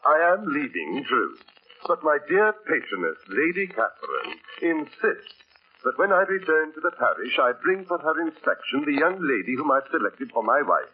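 A man's voice: a little high, very animated, normal speed. An actor playing a pompous, fawning and oblivious English clergyman cousin, gushing in 1940s radio drama style. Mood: self-important. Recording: tinny, noisy old radio broadcast.

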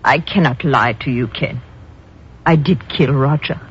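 A woman's voice: a bass voice, measured pace, breathy edge, full character voice. An actress playing a proud, scheming woman scientist in a 1950s radio melodrama, with dramatic, formal diction. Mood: pleading, distressed. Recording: muffled 1950s radio recording.

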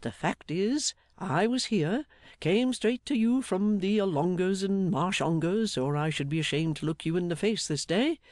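A man voicing a jolly, blustering older English gentleman in an audiobook, fatherly, emphatic and effusive. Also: high-pitched, lively intonation, moderate pace, no echo, clean studio sound, pitched above the performer's own voice. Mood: hearty, excited. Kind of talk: dramatised audiobook character.

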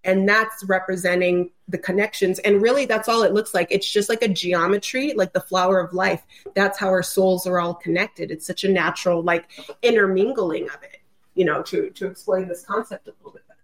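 A woman's voice: medium pitch, steady tone, brisk, poor audio. A woman with a mid-range voice talks as a guest on a podcast. She explains things conversationally and loosely, sprinkling in casual filler phrases. The delivery is informal and unscripted. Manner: informal, loose, peppered with "like" and "you know".

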